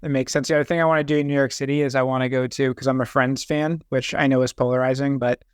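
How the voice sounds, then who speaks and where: Male podcast host with a smooth, mid-pitched voice, friendly questioning, dry close recording. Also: little pitch movement, quick pace, no room sound. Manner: friendly, asks questions, laughs easily. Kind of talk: casual interview chat.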